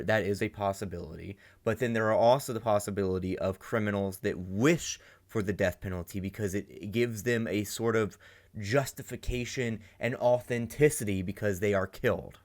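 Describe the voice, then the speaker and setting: A man hosting a casual reaction commentary, thinking aloud as he goes. His voice is fairly low, and he talks slowly, often pausing on fillers. He meanders from point to point. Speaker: reaction channel host.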